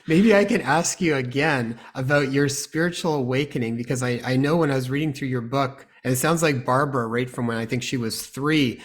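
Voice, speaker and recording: A man's voice: medium pitch, a little fast, hardly any pauses. A man hosting a podcast, introducing his guest in a friendly, rambling way. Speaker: podcast host. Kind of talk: casual podcast interview.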